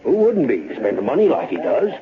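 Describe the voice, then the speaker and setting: An actor playing a gossipy, shrewd frontier saloon fellow with a rustic American drawl, wry and talkative, in old radio-western style. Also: male voice, medium pitch, wildly swooping pitch, fairly quick. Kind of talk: 1930s-40s radio western acting. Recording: muffled, hissy old-time radio recording.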